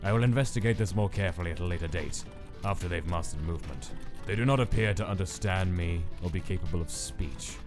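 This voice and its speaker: A man voicing a cold, clinical male scientist who dictates experiment logs in a detached, measured way. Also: sits low, over music, close to an everyday voice. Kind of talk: fan comic dub narration.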